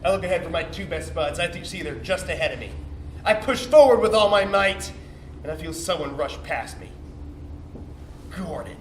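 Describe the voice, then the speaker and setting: A man's voice: fairly high pitch, moderate pace, lightly acted. A man on stage playing a cocky, bitter young sperm cell, telling his story as a slangy, swearing, defensive bro in a dramatic comic monologue. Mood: grave, tense. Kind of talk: theatrical comic monologue, confessional storytelling to audience. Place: indoor theatre stage, recorded from a distance. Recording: roomy, distant stage recording with faint noise.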